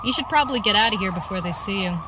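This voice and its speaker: A woman's voice: medium pitch, expressive, very fast, background noise, close to an everyday voice. A woman voicing a plucky, wry young vigilante in a radio superhero drama. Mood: wry, matter-of-fact. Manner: wry, brash. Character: plucky, wisecracking young female vigilante heroine.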